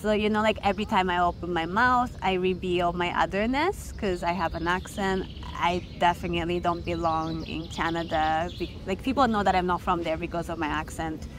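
Japanese-accented woman, frank and lively. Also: lowish voice, a little fast, few pauses. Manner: lively, frank, many fillers like "like" and "you know". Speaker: guest filmmaker. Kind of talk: casual interview chat. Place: festival setting. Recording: noisy ambience behind.

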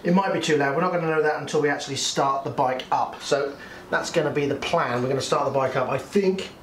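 A man's voice: fairly high pitch, quick pace. A man in his forties, hosting, explaining a motorcycle build to camera in a casual, chatty way while working outdoors by a shed. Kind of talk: casual workshop chat. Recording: echoey, distant, faint noise.

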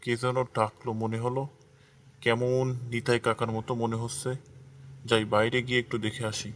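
A man's voice: mid-range voice, little pitch movement, moderate pace, fairly bright tone, a little hiss or hum, lightly acted. A man playing a respectful, eager young village farmer in a cartoon fairy tale, with an earnest manner. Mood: curious. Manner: earnest, deferential. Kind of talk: animated fairy-tale dialogue.

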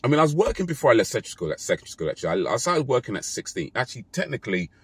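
A British man in his thirties talking casually to his phone in a parked car, relaxed and rambling with fillers and self-corrections. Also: medium pitch, rapid-fire, husky, no echo. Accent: British English. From Britain. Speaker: vlogger. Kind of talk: casual talk to camera. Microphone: phone camera held close.

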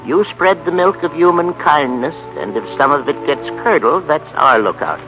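Actor as folksy old American grandpa, lively pitch. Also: male voice, moderate pace, over music, close to an everyday voice. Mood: calm, grateful. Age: older. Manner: homespun, plain-spoken. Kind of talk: 1930s radio play acting. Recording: dull vintage 1930s radio broadcast.

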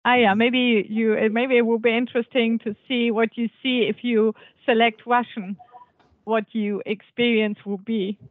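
A woman's voice: on the high side, flat delivery, normal speed, no echo. A woman on a video call sharing her experience, hesitant, with frequent restarts. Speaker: meeting participant. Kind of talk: casual discussion on a video call. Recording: dry, phone-like call audio.

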